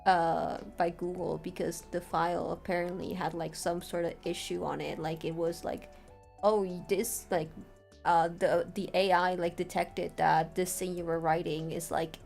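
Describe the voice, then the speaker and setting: A woman's voice: on the low side, little pitch movement, normal speed, creaky, a little mellow, faint music behind. A woman streamer walking viewers through a writing tool in an informal, meandering way. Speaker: streamer giving a tutorial. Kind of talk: casual livestream walkthrough of a writing tool.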